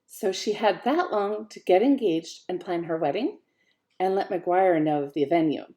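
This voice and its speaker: A woman author reading her own fiction aloud in an expressive, lively way. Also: lowish voice, normal speed. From Canada. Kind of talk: audiobook-style fiction reading.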